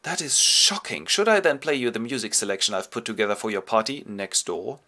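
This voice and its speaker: A man voicing an idle, teasing young gentleman. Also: lowish voice, lively intonation, brisk.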